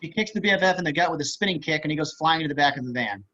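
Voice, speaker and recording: A man's voice: a little high, animated, rapid-fire, pushed and bright. A man narrating stage directions for a screenplay table read over a video call. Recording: slightly dull video-call audio.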